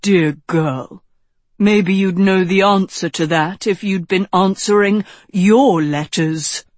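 An elderly wizard as a woman narrator plays him speaks slowly and gravely, instructing and questioning. He is a shrewd mentor in a fantasy storybook, recorded dry and close. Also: medium pitch, dry recording. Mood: chiding. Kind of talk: audiobook fantasy character voice.

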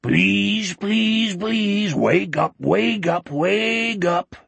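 Brave young boy, played by a man, raised pitch, urgent, insistent, slightly husky, audiobook character. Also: very animated, takes it slowly, fairly bright tone, dry recording, exaggerated voice. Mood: desperate. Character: brave, urgent boy trying to rouse his friend.

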